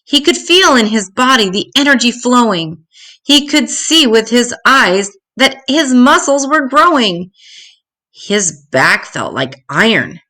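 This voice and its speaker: An older woman reading a rhyming children's story aloud in an expressive, dramatic, deliberate way. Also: on the high side.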